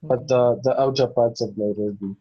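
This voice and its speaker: A man's voice: medium pitch, fairly even intonation, normal speed, slightly rough. A man from Kenya, a class participant, speaking politely on a video call. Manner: polite, apologetic. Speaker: course participant. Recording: dull video-call audio.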